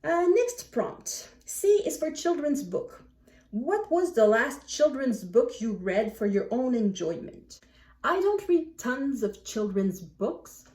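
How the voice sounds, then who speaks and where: A woman book vlogger chatting casually to camera in an animated, self-deprecating way. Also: a little high, slow. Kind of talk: casual chat to camera, answering book prompts. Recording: echoey room, distant microphone.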